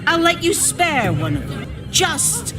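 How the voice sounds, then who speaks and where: An actress playing a cold, ruthless survivor town leader in a video game, theatrical, menacing and mock-sincere. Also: female voice, very high voice, wildly swooping pitch, slow pace, loud music behind the voice, a loud crowd, exaggerated voice. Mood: stern, vindictive. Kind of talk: dramatic video game acting.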